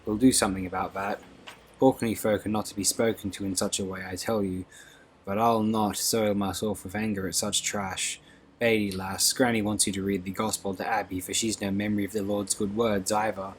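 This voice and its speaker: A man's voice: on the low side, flat delivery, brisk, slight background noise, close to an everyday voice, rough recording. A man voicing a fussing, devout Orkney woman servant with a lilt, motherly and proper. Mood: indignant.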